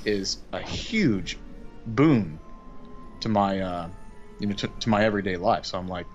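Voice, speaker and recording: Easygoing low-voiced man chatting. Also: unhurried, lo-fi sound. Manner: easygoing, chatty, filler-heavy. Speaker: co-op gaming guest. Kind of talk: casual chat over gameplay. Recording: music playing behind.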